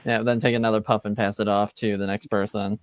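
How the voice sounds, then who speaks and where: A man's voice: lowish voice, flat delivery, fast, clean tone, no room sound, studio quality. A man narrating a tabletop game as game master, hesitant, with trailing phrases. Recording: faint music behind.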